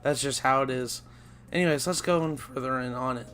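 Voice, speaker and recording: A man hosting a video game let's play, losing his voice and sounding raspy, rambling and correcting himself as he talks. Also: medium pitch, a little fast, a bit bright, faint music behind. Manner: rambling, self-correcting, chatty. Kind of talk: casual gameplay commentary.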